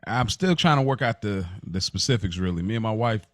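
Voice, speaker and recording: American male co-host, lower pitch, dry close sound, easygoing informal conversational delivery full of slang. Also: animated, fairly quick, no room sound. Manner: laid-back, slangy. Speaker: podcast co-host. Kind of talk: casual chat.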